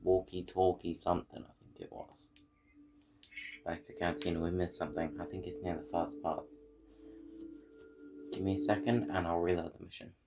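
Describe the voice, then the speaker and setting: A man's voice: sits low, little pitch movement, normal speed, long pauses, background noise. A man giving casual, understated game commentary, half talking to himself as he plays. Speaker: gameplay video host. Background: loud game music.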